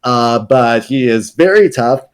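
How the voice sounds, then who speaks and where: Man, mid pitch, casual chat, rambling explainer, lots of ums. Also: animated, unhurried, clean tone. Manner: rambling, self-correcting, hesitant fillers. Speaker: lore guest on a card game podcast.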